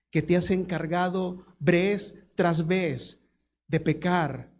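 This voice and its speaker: Slow, emphatic male preacher. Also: on the high side, a little mellow. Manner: dramatic, emphatic storytelling. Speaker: pastor. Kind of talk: sermon.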